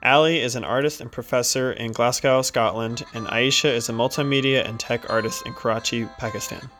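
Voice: mid-range voice, fairly even intonation, a little fast, a bit bright, faint music behind. A podcast host reading a scripted introduction, then asking guests questions in a looser, hesitant way.

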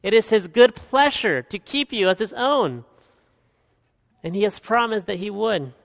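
High-voiced earnest pastor in a reverberant hall. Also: male voice, lively intonation, measured pace, vocal fry. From Guatemala. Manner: earnest, rising to emphatic peaks and dropping to quiet asides. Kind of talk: expository sermon. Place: church hall.

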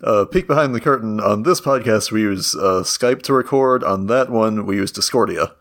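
A man chatting on a casual podcast, deadpan and hesitant with fillers. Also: lowish voice, moderate pace. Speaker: podcast co-host. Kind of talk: casual group podcast chat.

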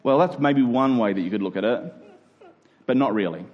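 A man's voice: mid-range voice, moderate pace, highs cut off. A man preaching a conversational sermon to a congregation, in colloquial language with emphatic repeated phrases. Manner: colloquial, dramatizing imagined exchanges.

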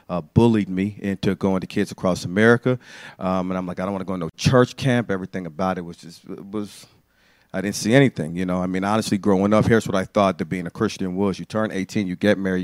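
A man from the Bahamas, a youth pastor, telling his testimony in an animated storytelling way. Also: low voice, no echo, studio quality. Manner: animated storytelling, acts out his own past lines. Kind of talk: testimony to a church audience.

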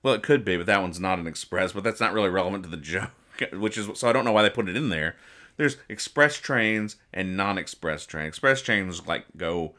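Guy with a low but bright sharp voice, rambly mock-serious podcast host explaining funny stuff. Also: a little fast. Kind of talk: casual comedic read-aloud and explanation of comic strips.

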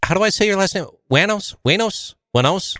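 A man's voice: high-pitched, expressive, brisk, bright, forceful tone, no echo. Easygoing man hosting a podcast. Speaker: podcast host. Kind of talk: casual podcast interview.

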